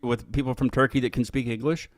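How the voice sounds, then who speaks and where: A man's voice: mid-range voice, flat delivery, fast, no echo, clean studio sound. A man casually hosting an interview show, rambling and correcting himself as he goes. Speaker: host of a music interview show.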